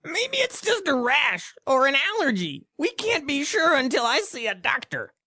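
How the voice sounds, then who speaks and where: A woman's voice: sits high, wildly swooping pitch, normal speed, bright, forceful tone, dry recording, clean studio sound, heavily acted. A woman acting a sick young woman in a short recorded teaching dialogue, complaining of itching and brushing off her friend's worry. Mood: defensive. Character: young woman with itchy chicken pox, defensive. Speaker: dialogue actor.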